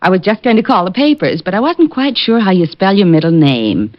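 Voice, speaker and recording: A woman's voice: mid-range voice, very animated, a little fast, dry recording, lightly acted. A dull, dry old radio recording of a woman acting as a playful sweetheart. Her delivery swings up and down as she teases, coy and mock-scolding, in a vintage detective drama. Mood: teasing, flirty. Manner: coy, teasing.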